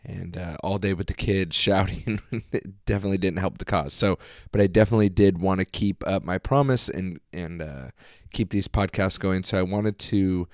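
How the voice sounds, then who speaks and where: A man hosting a podcast, talking casually and hoarsely with his voice nearly gone, rambling with fillers and restarts. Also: deep voice, normal speed, a little mellow, no room sound, clean studio sound. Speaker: podcast host. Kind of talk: casual solo podcast talk.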